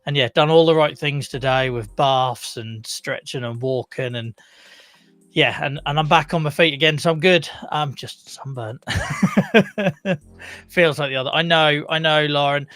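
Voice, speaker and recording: A young man with a croaky voice hosting a live chronic pain talk show, chatting casually to his viewers with many fillers and laughs. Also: a little high, unhurried, fairly bright tone, no echo.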